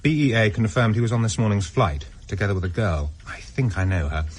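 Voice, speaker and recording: An actor playing a measured, loyal British intelligence officer, polite and reserved in a radio drama. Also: male voice, lowish voice, lively intonation, husky. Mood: measured, defensive.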